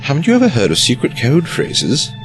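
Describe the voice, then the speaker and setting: Man acting a lively, protective adventurer, music behind. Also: mid-range voice, a little fast. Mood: wry. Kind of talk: audio drama acting.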